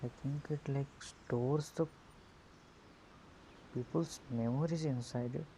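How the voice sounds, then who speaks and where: A gamer giving casual, halting, musing gameplay commentary in a murky, muffled voice through an earphone mic. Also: mid-range voice, steady tone, warm tone, slight background noise. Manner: halting, musing, many hums. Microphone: phone earphone mic.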